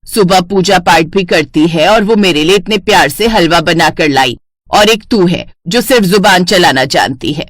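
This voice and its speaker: A woman's voice: fairly low pitch, moderate pace, no echo, natural voice. A woman voicing a complaining older mother-in-law in a cartoon family story. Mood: scolding. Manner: complaining, nagging. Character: scolding older mother-in-law, grudging and quick to praise others.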